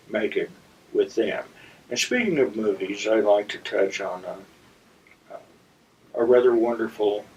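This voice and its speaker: A man hosting a story segment, telling a personal anecdote to viewers in a deliberate, theatrical raconteur's manner with dramatic pauses. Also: lowish voice, a little mellow, breathy edge. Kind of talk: anecdotal monologue addressed to viewers. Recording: slightly distant, dull lo-fi sound.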